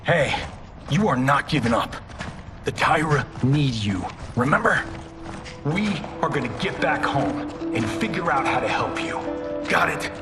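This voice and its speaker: A man's voice: fairly high pitch, expressive, a little slow, music in the background. A man playing a cocky, wisecracking movie star in a video game, with an American accent and a casual, breezy, emphatic manner. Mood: urgent, encouraging. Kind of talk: video game cutscene acting.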